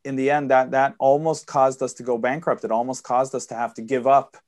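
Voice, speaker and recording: A man's voice: mid-range voice, little pitch movement, brisk, a little mellow. A man from Sweden with a Swedish-American accent, a guest on a podcast interview, explaining at length and fluently with frequent fillers. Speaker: guest investor.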